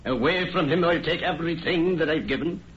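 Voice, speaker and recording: A man's voice: fairly high pitch, a little fast, a bit bright, close to an everyday voice. An actor playing a stern, domineering middle-aged Irish farmer father in a declamatory old-time radio drama style. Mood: angry, commanding. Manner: commanding, declamatory. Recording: dull, noisy vintage radio recording.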